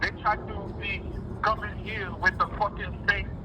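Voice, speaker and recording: High-pitched man on a noisy jail call. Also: flat delivery. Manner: halting, repetitive, stumbling over words. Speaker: jailed caller. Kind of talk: heated personal phone conversation. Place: on a phone from jail.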